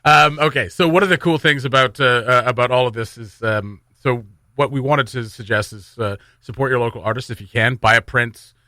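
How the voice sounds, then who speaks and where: A man's voice: mid-range voice, a little fast, few pauses, sharp tone, no room sound. A man hosting a morning radio show with casual, joking, rambling banter and announcements. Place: radio studio.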